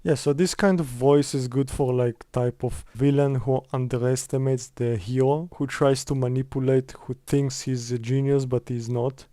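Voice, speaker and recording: A man's voice: mid-range voice, fairly even intonation, normal speed, few pauses, warm tone, no echo, studio quality. A man presenting a casual step-by-step screen tutorial in a conversational way.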